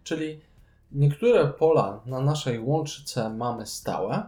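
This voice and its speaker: A man with a soft, mellow voice talks as a podcast co-host. His delivery is conversational and analytical, relaxed in tone and mid-pitched. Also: slow pace. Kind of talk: casual board game discussion.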